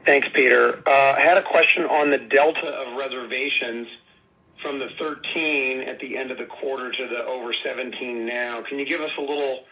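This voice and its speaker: A man's voice: mid-range voice, fairly even intonation, normal speed, bright, forceful tone, poor audio. A man calling in as a financial analyst, putting questions courteously and conversationally on an earnings call.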